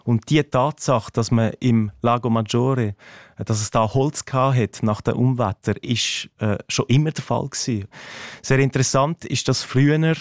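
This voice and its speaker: A man's voice: medium pitch, darkish tone, no echo. Man, a touch, hesitant and thoughtful, dry studio sound. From Ticino, Switzerland. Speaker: teacher and interview guest. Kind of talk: radio interview, relaxed explaining.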